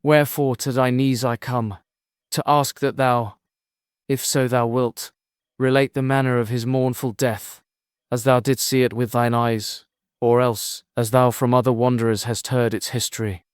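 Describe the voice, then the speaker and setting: Male actor as young prince, earnest, solemn formal declamation, mid-pitched, epic audiobook drama, dry studio sound. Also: level tone, normal speed, smooth, no echo, natural voice. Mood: mournful. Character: earnest young prince seeking news of his lost father.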